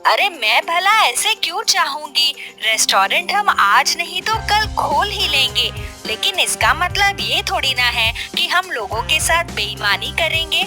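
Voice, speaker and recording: A woman playing a sensible, honest village wife in a cartoon story, speaking in an expressive, emphatic way. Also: high voice, measured pace, over music. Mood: concerned, reproachful. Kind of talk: Hindi cartoon story voice acting.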